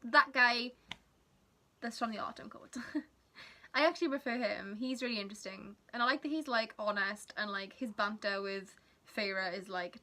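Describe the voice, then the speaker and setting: A woman's voice: a little high, sharp tone. A young woman from Wales with a Welsh accent, whose first language is Welsh, chatting casually in a rambling vlog with giggly asides. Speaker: vlogger.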